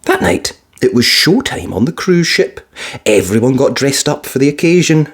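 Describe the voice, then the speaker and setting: A woman reading a children's picture book aloud as storyteller, expressive and playful with sound words. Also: extremely low pitch, moderate pace, breathy edge.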